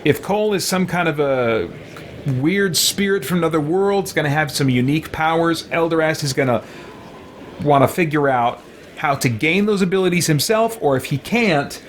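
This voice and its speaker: Animated, reflective man chatting over a game. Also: on the high side, normal speed, slight background noise. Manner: rambling, reflective, expressive. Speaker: gaming video host, roleplayer. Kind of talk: casual chatty game commentary.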